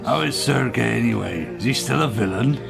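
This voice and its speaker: A man acting a fretful, old-fashioned father of a grown daughter in a radio play, with a gravelly voice. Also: medium pitch, a little fast, over music. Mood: disapproving.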